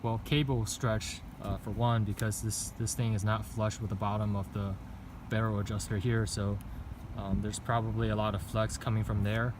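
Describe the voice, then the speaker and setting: A man giving a casual, unscripted walkthrough of his gear in a halting, hesitant way. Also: flat delivery. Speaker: vlogger reviewing own bike setup. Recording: noisy outdoor recording with traffic rumble.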